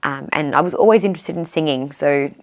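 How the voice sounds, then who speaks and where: A young woman in her twenties, a guest musician with a low voice, chatting casually and filling pauses with "um". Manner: chatty, fills pauses with "um", laughs easily. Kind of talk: casual interview chat.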